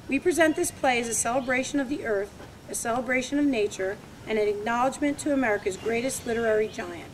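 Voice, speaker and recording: A woman who runs a homeschool drama group introduces a reading with some hesitant fillers, then reads its prologue aloud at a steady pace. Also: fairly high pitch, fast, a little hiss or hum, poor audio.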